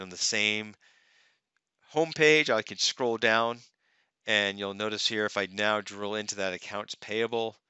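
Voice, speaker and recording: A man narrating a software tutorial as a demonstrator, explaining step by step in a conversational way, close to the microphone. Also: on the low side, clear, resonant tone, no room sound. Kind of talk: narrated software tutorial, semi-scripted walkthrough. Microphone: close microphone, screen-recording voice-over.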